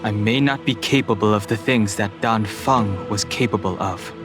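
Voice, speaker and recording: A man's voice: lowish voice, moderate pace, natural voice. An actor playing a proud, reserved young male exile in a fantasy game, speaking seriously and tersely. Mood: guarded, resolute. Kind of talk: dramatic scripted game dialogue. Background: background music.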